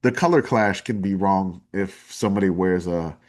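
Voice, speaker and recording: A man with a low-pitched voice and a New Orleans drawl talks in a loose, rambling way. He jumps between thoughts, restarts sentences and piles on fillers with plenty of enthusiasm. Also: normal speed, darkish tone. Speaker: podcast guest. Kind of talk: casual chat.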